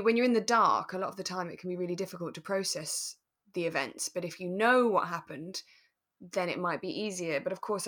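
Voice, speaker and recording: Female podcast co-host, lower register, thoughtful and explanatory, relaxed discussion. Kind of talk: relaxed discussion of a radio drama.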